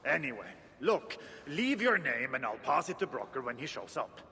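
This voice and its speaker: A man voicing a gruff, nonchalant dwarf artisan in a video game, colloquial and blunt. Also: mid-range voice, sing-song, normal speed, bright, forceful tone, in a large hall, slight background noise. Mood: offhand. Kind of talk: video game character acting.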